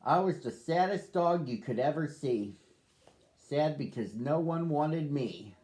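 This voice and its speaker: A man's voice: on the high side, animated, a little slow, dark tone. A man narrating as a sad, lonely young male dog in a children's storybook, reading earnestly. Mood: wistful.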